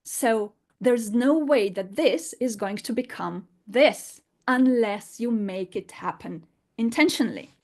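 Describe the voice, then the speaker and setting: A woman's voice: fairly high pitch, animated, slow, a little mellow. A woman teaching an unscripted online lesson in English, her fourth language, explaining emphatically with rhetorical questions. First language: not English.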